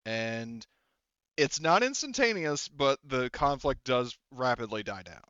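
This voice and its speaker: A man working as game master, narrating a tabletop game in a casual, halting, conversational way. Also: mid-range voice, sing-song, a bit bright, clear, resonant tone, dry recording, dull audio.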